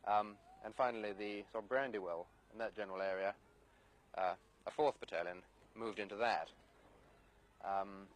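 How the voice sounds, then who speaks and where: Halting, low-voiced male army officer. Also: steady tone, poor audio. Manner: hesitant, halting, understated, formal. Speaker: British Army battalion officer. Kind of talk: unscripted briefing to an interviewer. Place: outdoors, overlooking the area.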